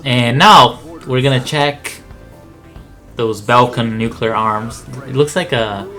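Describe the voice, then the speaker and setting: A man who speaks as a commentates on a video game playthrough. He talks casually, rambling into explanations and asides at a medium pitch. Music plays behind his voice. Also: takes it slowly, bright, forceful tone. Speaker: gameplay commentator, channel host.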